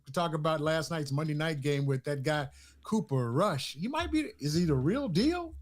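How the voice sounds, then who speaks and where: High-voiced, upbeat man hosting a show. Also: normal speed. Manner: energetic, hypes the audience. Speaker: host. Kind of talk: casual sports talk show hosting.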